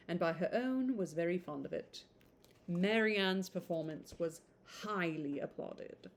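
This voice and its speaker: A woman reading a classic novel aloud in an informal, expressive way, stumbling over some words and adding chatty asides. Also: lowish voice.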